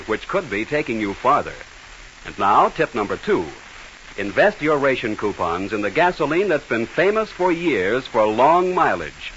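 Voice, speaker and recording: Old time radio announcer guy, very animated sales read, emphatic and polished, hissy 40s broadcast. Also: medium pitch, low-quality recording. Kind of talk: 1940s scripted radio commercial.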